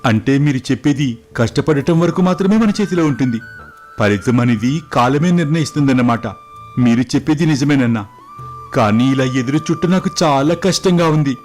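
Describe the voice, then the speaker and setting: A man voicing an eager, impatient young prince, earnest and excitable, in a storybook tale. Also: medium pitch, moderate pace, faint music behind, voice pushed up, lightly acted. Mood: impatient, frustrated. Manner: earnest, excitable, respectful to father. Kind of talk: scripted moral story dialogue.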